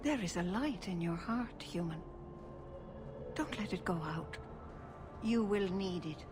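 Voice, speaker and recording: An actress playing a wise, solemn elven clan keeper in a fantasy video game, speaking in a measured, formal way. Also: female voice, lowish voice, expressive, music in the background. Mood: gentle, solemn. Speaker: clan leader and spiritual guide.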